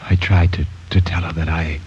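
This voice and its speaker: A man's voice: sits low, little pitch movement, normal speed, a little hiss or hum, natural voice. An actor playing a tormented, guilt-ridden man who begs to die, whispering and pleading feverishly in 1940s radio drama style. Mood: desperate. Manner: desperate, broken, repeating himself. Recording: muffled 1940s radio broadcast.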